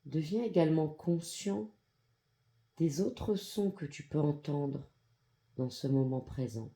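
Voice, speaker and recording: Slow, very mellow yoga nidra guide, gender-neutral voice. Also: medium pitch, gravelly. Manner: calm, soothing, measured with long pauses. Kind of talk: guided meditation, soft instructional narration.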